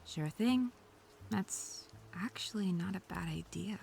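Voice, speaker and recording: A woman's voice: mid-range voice, takes it slowly, gravelly, darkish tone, slight background noise. A woman playing a snarky, defensive female hacker under interrogation in an audio drama, sarcastic and indignant.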